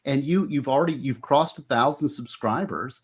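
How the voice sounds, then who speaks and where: A man's voice: medium pitch, moderate pace. Casting note: easygoing Florida man, casual livestream host on a video call. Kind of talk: casual chat.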